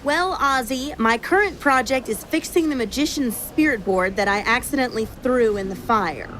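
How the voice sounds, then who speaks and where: Woman playing a high-voiced, brisk, evasive schemer. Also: fairly bright tone, lightly acted, low-quality recording. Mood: defensive. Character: brisk, evasive, scatterbrained woman dodging blame. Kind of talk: audio drama acting. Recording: faint background noise.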